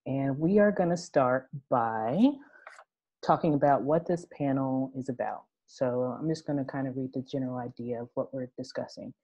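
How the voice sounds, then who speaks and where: A woman moderating a panel discussion over a video call, speaking in a relaxed, conversational way with many fillers. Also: extremely low pitch, normal speed, slightly rough, dry recording, highs cut off.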